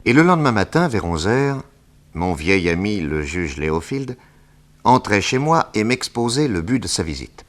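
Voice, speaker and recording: Deep smooth-mannered detective, composed, polite, 60s french radio drama. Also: male voice, sing-song, normal speed. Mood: calm, narrating. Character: suave, composed detective narrating his case. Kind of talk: 1960s French radio drama acting and narration.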